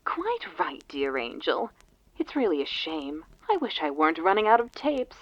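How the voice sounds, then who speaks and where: A woman's voice: lowish voice, wildly swooping pitch, moderate pace, no room sound. A woman voicing a theatrical, genteel poet who speaks in rhyme to an audience in a scripted audio drama. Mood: wistful, hopeful. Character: theatrical rhyming poet bidding listeners a fond farewell. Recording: muffled lo-fi tape sound.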